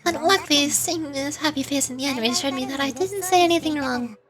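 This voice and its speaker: A woman voicing a gentle, wise child deity in a game, curious and earnest, thoughtfully giving advice. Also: high-pitched, a little fast, close to an everyday voice. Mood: earnest, pensive. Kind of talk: scripted game character dialogue. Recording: game music behind the voice.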